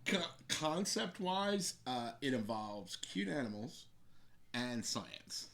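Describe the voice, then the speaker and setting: A man's voice: mid-range voice, sing-song, slow, a bit bright. A man who designs games, chatting casually in an interview as he explains one. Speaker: game designer being interviewed. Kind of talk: casual chat explaining a game.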